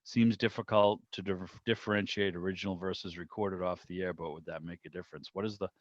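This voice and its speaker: A man's voice: sits low, fairly even intonation, fast talker, no echo. A man hosting a club video call in casual chat, rambling and breaking off his own sentences. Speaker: club meeting host. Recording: slightly dull video-call audio.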